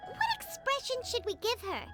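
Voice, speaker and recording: Tiny squeaky fairy kid for a game, super high n bouncy, excitable, bit of a show-off. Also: female voice, normal speed, full character voice. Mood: chirpy, eager. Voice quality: squeaky, childlike. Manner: speaks of self in third person. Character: tiny chirpy childlike female fairy companion, excitable, boastful. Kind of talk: video game cutscene acting. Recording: loud music behind the voice.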